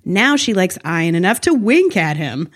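Woman, lowish voice, amused, wry, chatty TV recap host. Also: sing-song, moderate pace. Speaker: podcast host. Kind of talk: conversational TV episode recap.

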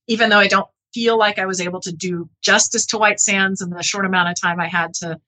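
A woman gives an expert's view in a conversational academic interview. Her voice sits at a middle pitch with a bright, forward edge. She explains things earnestly, checking in with the listener as she goes. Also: fairly even intonation, a little fast, rough recording. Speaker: interview guest, geneticist and author.

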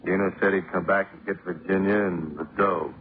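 Actor as a low-voiced, surly gangland henchman. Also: steady tone, fairly quick, natural voice. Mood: worn down. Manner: terse, surly. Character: sullen, tough gangland henchman, stubborn and evasive. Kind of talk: 1950s radio drama acting. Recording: old radio recording, narrow band, faint noise.

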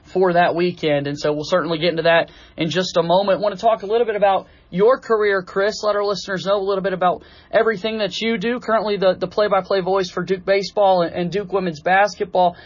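A man's voice: high voice, steady tone, a little fast, few pauses, dry recording, muffled recording. A man hosting a sports podcast, moving between introductions, sponsor reads and interview questions. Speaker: podcast host.

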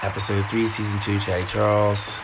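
A man hosting a podcast, signing off in a relaxed, chatty way and calling his listeners "guys". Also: fairly low pitch, very noisy. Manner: slows down to read a quote. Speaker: podcast host. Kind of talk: casual show sign-off.

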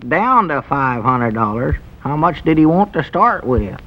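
A puzzled, literal-minded country sidekick in a 1940s-style radio comedy. His voice sits fairly high for a man, with a hesitant rural Southern American twang and folksy wording. It comes through as dull, lo-fi vintage broadcast sound. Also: sing-song, unhurried. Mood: puzzled, wary.

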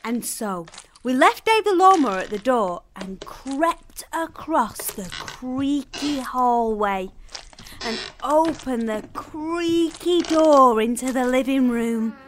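Bossy brave little girl, high voice, swoops about, telling adventure on kids radio. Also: expressive, takes it slowly, noisy background. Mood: bright storytelling. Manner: earnest, exclamatory storytelling. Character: plucky, ordinary young girl adventurer, sensible and bossy. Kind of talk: children's radio comedy drama acting.

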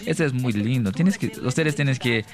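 A young man from Brazil speaking Spanish with a Brazilian Portuguese accent, a guest on a radio show who pauses often to search for words. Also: medium pitch, over music. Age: young adult. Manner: hesitant, searching for words in a second language. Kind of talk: radio interview.

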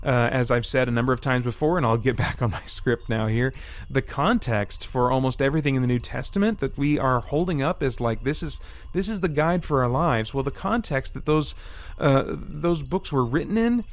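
Guy doing a solo podcast, midrange voice, chatty and rambly, kinda thinking out loud. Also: medium pitch. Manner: rambling, self-interrupting, thinking aloud. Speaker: podcast host. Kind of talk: casual solo podcast talk with scripted bits and asides.

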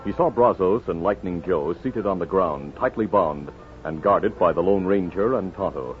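A man's voice: deep voice, moderate pace, soft background music. A man narrating and announcing in a dramatic, polished, emphatic 1950s radio style. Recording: tinny, noisy 1950s radio recording.

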